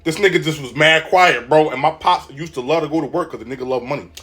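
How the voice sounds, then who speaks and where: A man telling a story in casual, slangy, animated style, often checking in with the listener. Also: a little high, fast, soft background music.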